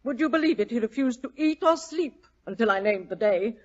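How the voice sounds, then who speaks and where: A woman's voice: fairly high pitch, expressive, a little fast. An actress playing a vain, imperious, portly middle-aged society lady, grand and melodramatic, in an old-time radio drama. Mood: indignant, vain. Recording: dull old radio broadcast recording.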